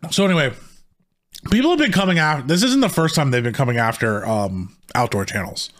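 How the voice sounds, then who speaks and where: A man co-hosting a casual podcast, talking in a heated, rambling way with many restarts, his voice slightly hoarse. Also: medium pitch, animated, brisk, a bit bright. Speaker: podcast co-host.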